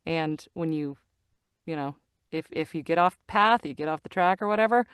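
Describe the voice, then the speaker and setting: A woman's voice: deep voice, clear, resonant tone, no echo, studio quality. Bubbly middle-aged woman voice actor. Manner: bubbly, laughs a lot, halting with fillers. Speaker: guest, voice actor and narrator. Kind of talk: casual video-call interview. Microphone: video call from a home recording studio.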